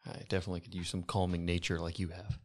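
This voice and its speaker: Man, lowish voice, tabletop game player, casual in-character chat. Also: completely flat delivery, very fast.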